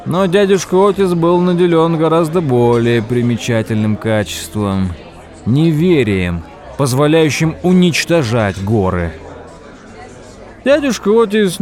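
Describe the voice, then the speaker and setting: A man's voice: mid-range voice, a little mellow. An actor playing a nervous nephew who narrates the story of his uncle in an audio drama.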